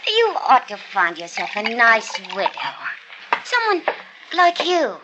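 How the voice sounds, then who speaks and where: A woman's voice: a little high, wildly swooping pitch, measured pace, dull audio, heavy background noise. A flippant young woman. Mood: offhand.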